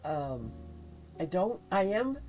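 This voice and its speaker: A woman with a head cold chatting casually on a livestream while she spins yarn at home, rambling with many fillers and halting pauses. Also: on the low side, very animated. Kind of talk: casual chat while spinning. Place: home craft room. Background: faint quiet music.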